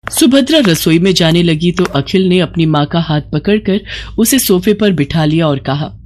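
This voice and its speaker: A woman's voice: lowish voice, animated, normal speed, darkish tone, a little hiss or hum. A woman narrating a scripted moral story expressively.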